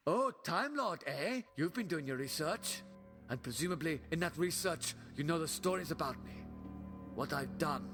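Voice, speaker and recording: Righteous alien adventurer played by a man, fairly high-voiced, commanding and sardonic, audio drama with prominent music. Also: lively intonation, moderate pace. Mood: defiant, sardonic. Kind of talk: fan audio drama acting. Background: loud dramatic music.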